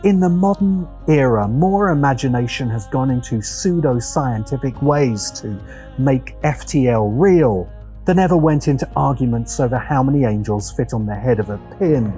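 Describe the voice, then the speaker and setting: Male video essay narrator, dark warm timbre, mid pitch, scripted, measured dramatic phrasing.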